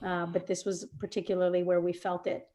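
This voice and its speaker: A woman's voice: fairly low pitch, level tone, fairly quick, dark tone. A young woman speaking on a video call, earnest and heartfelt, with plenty of fillers as she talks. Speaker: guest, chef's daughter. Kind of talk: casual speech on a video call.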